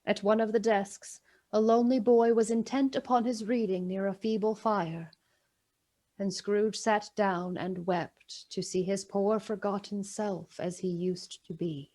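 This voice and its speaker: A woman narrating a literary radio play in a measured, dramatic and deliberate way. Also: mid-range voice, fairly even intonation, mellow, lightly acted.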